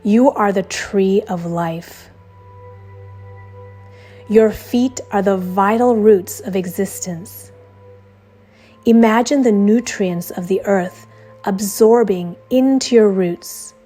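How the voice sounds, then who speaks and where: A woman narrating a scripted guided meditation in a measured, soothing, instructional way. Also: medium pitch. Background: soft background music.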